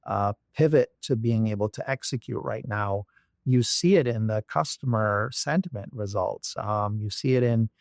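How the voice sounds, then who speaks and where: A man's voice: on the low side, normal speed, a little mellow, dry recording, studio quality. A man from Barbados, a company executive, speaking as an interview guest in a thoughtful way with many fillers and restarts. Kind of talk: interview conversation.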